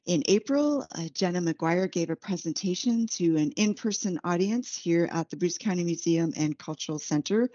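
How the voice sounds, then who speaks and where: A woman archivist opens an event and introduces the guest speaker. Her voice is low and mellow, and she reads her remarks with small fillers. The sound is dry and dull. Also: no echo.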